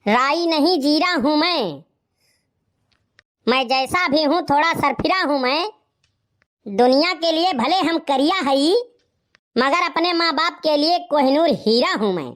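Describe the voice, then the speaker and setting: Very high-pitched cheeky young village lad. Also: lively intonation, a little slow, pauses often, fairly bright tone. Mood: proud, boastful. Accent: Awadhi, eastern Uttar Pradesh. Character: cheeky, self-assured young village man, witty suitor. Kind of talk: comedy cartoon voice acting in village dialect.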